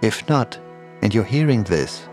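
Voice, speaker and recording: Low-pitched man narrating over music. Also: very animated, measured pace, a little mellow. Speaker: horror podcast narrator and producer. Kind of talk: scripted narration of a horror story.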